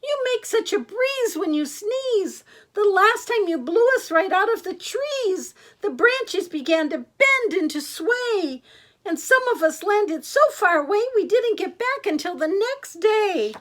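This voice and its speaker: A woman putting on a chorus of panicky storybook monkeys, pitched far above her own voice and half crying, with a loud, pleading push behind every line. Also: sing-song, unhurried, full character voice. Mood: pleading, tearful. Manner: high, squealing and tearful.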